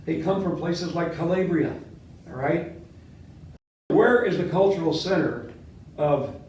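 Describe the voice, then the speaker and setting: An older man, a history professor born in Latvia with Latvian as his first language, giving a classroom lecture and quizzing his students, talking with lively gestures. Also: a little high, unhurried, slight background noise. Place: seminar room with whiteboard. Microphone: far camera. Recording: echoey classroom from far off.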